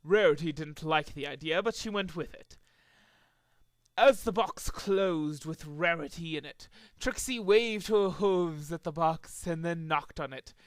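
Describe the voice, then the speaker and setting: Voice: mid-range voice, a little slow, dry recording. An amateur reader narrating a fan fiction story as a dramatic reading, with occasional stumbles over the text.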